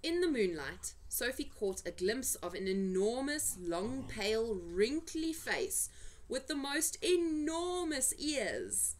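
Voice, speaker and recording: Expressive woman reading a children's storybook. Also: fairly high pitch, slow. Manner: dramatic, suspenseful delivery with emphasized words. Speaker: amateur storyteller reading for family.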